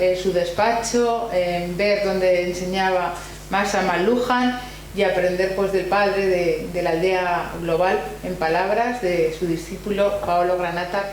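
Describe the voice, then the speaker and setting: A woman with an accent from Spain formally introduces a guest speaker at an academic seminar, partly reading and sometimes hesitating. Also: on the low side. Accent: Spanish from Spain. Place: university library room. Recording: very echoey room, faint hum and noise.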